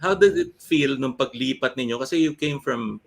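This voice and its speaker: A man's voice: medium pitch, animated, fairly quick, creaky. An older man with a Filipino accent hosting a casual interview and putting questions to his guests. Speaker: show host.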